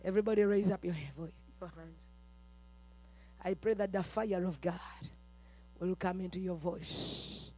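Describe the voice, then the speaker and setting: A man's voice: high voice, fairly even intonation, measured pace, pauses often, warm tone, a little hiss or hum, poor audio. A man leading a fervent chanted prayer with rhythmic, repetitive declarations full of "uh", breaking into tongues between them. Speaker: preacher.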